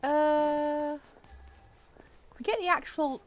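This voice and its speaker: A woman's voice: high-pitched, steady tone, slow pace, slight background noise. A woman streaming a game, chatting casually and musing aloud as she plays. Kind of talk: casual livestream commentary over gameplay. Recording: game music behind the voice.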